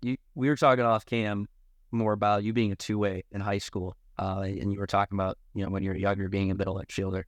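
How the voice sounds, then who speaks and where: A man with a fairly low voice hosts a sports interview, recorded dry without room sound. He talks conversationally and builds long, wandering questions. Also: little pitch movement, quick pace, dry recording. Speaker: podcast co-host and interviewer.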